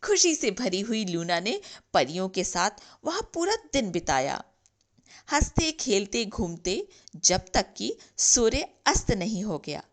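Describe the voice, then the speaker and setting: A woman narrating a bedtime fairy tale in a gentle, measured reading style. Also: mid-range voice, sing-song. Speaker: storyteller. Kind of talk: slow scripted bedtime story narration. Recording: narration over clear background music.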